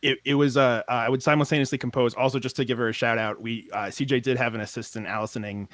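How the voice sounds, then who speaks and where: A man's voice: medium pitch, fast, no room sound. Man explaining, dry close sound. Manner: animated explainer, many restarts. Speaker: composer. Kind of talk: casual chat.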